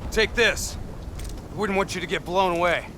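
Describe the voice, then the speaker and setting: A hardened squad soldier with a fairly high voice. He is matter-of-fact in a cinematic game, with clear outdoor noise around him. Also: moderate pace, bright, forceful tone. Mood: commanding. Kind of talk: cinematic video game acting.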